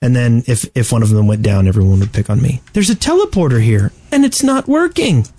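A man hosting a podcast, casually discussing video game strategy in a halting, deliberate way. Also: medium pitch, very animated, mellow, slightly rough. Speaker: podcast host.

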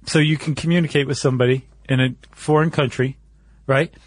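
A man's voice: on the high side, steady tone, brisk, dry recording. Casual man explaining, close dry sound. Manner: informal, slangy, explaining step by step. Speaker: podcast co-host.

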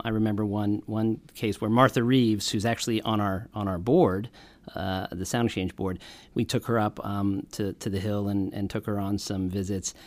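A man's voice: fairly low pitch, no echo. A man from a music royalty organization, explaining earnestly in a podcast panel interview, with frequent restarts.

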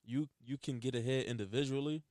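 A man's voice: medium pitch, a little fast, a little mellow, no room sound, clean studio sound. A man in a casual group chat, laid-back and joking darkly.

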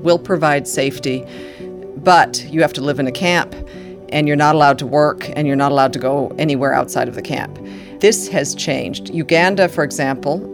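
A woman, a refugee agency official, explaining policy thoughtfully with frequent fillers. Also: sits low. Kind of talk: interview explanation.

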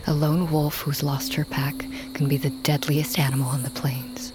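An actress playing a guarded, blunt huntress of a wolf tribe in an audio drama, plain-spoken and restrained. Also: female voice, low voice, level tone, normal speed, over music, natural voice. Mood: guarded concern. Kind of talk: audio fiction drama acting. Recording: outdoor ambience with crickets and insects.

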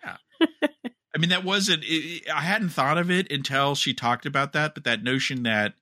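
Bright-voiced man podcasting, close and dry. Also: fairly high pitch, no room sound. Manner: halting, rambling delivery with repeated words. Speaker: podcast co-host. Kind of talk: casual chat about TV and fandom.

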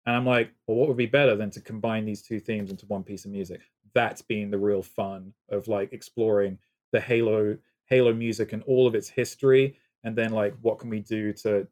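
A man's voice: mid-range voice, darkish tone. A man with a faint British accent, a guest composer chatting at length in a casual interview, enthusiastic and full of fillers. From Britain.

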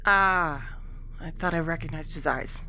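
A woman's voice: low voice, unhurried, pushed and bright, slight background noise, exaggerated voice. A woman playing a wry, philosophical rebel prisoner in a science fiction audio drama, with a lofty, theatrical, teasing manner. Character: wry, world-weary alien rebel prisoner, grandly philosophical.

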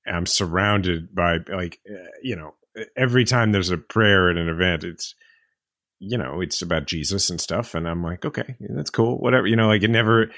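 Deep-voiced podcast co-host, dry sound. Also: male voice, normal speed, fairly bright tone, no room sound. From middle of the US. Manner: reflective, hesitant, many fillers. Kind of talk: casual discussion.

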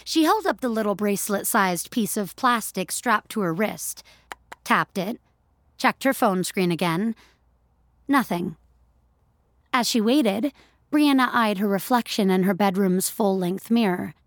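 A woman's voice: mid-range voice, expressive, measured pace, no room sound, clean studio sound. A woman narrating scripted audio fiction in the third person.

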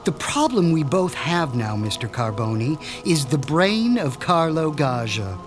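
A menacing mad doctor. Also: male voice, a little high, very animated, over music. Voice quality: measured, menacing, pompous. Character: man, a sinister mad scientist doctor seeking revenge. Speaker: Dr. Stein. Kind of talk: radio drama acting.

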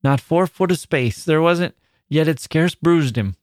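A man's voice: a little high, unhurried, dry recording, studio quality, pitched above the performer's own voice, close to an everyday voice. A man doing a flustered old pit manager. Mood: perplexed. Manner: blunt mining-village dialect, fussing.

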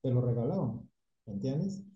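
A man's voice: medium pitch, moderate pace. Colombian man telling stories in an echoey room. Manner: relaxed storytelling, mixes English words into Spanish. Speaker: painting company owner, guest. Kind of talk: casual interview chat. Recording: echoey room, dull lo-fi sound.

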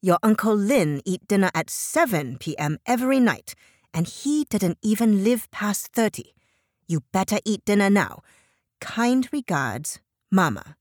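Audiobook role, female narrator: nagging sixty-something Chinese mom, Chinese accent, raised pitch, bossy and stern, dry sound. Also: very animated, a little slow, dry recording. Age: 60s. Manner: stern, scolding, broken grammar. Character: bossy older Chinese immigrant mother, nagging and fussy. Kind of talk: audiobook character voice.

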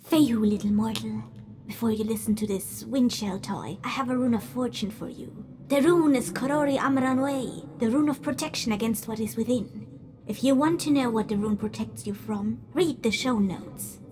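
A woman plays a haughty, playful fey spirit in an audio drama, over clear background noise. The voice is fairly high. The manner is theatrical and taunting toward mortals. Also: moderate pace, close to an everyday voice. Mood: mysterious, imperious. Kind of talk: audio drama framing monologue. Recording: clearly noisy ambient background.

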